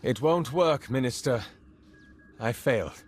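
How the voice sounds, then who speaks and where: An actor playing a desperate, guilt-ridden spy in a video game, with a husky voice. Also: male voice, mid-range voice, lively intonation, unhurried, soft background music, natural voice. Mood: defeated, confessing. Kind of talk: video game cinematic acting.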